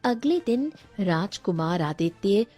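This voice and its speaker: A woman's voice: medium pitch, sing-song, slow, mellow, faint music behind. A woman narrating a scripted fairy tale for a cartoon as a storyteller.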